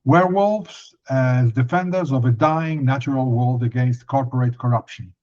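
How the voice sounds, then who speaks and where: Dull-sounding, halting male podcast interviewer. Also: mid-range voice, a little mellow. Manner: halting delivery with many hesitations. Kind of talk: prepared interview questions.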